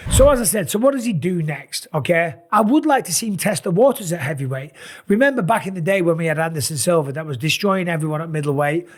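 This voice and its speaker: A middle-aged man with a raspy, sore voice, tired and talking in an animated, casual way as he breaks down fight matchups. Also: high voice. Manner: animated, emphatic, rambling asides. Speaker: MMA analyst and channel host. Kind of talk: casual fight commentary to camera.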